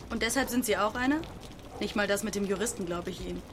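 A woman's voice: medium pitch, fast talker, noisy background, natural voice. A woman playing a blunt, cheeky teenage runaway girl with terse, matter-of-fact replies in a radio drama. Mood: blunt, challenging.

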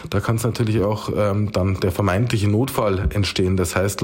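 A man's voice: on the low side, a little mellow. A man, a mountain rescue press spokesman, explaining things in a matter-of-fact way in an interview. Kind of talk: interview answer.

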